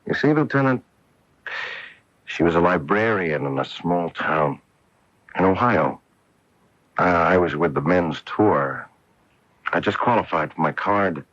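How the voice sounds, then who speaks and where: An actor playing an earnest, wistful professional golfer in a radio mystery drama, with a husky voice. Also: male voice, low-pitched, fairly bright tone, full character voice. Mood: rueful, wistful. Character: wistful, earnest male professional golfer recalling his wife. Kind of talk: radio mystery drama acting, narration and dialogue. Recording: dull lo-fi AM radio broadcast.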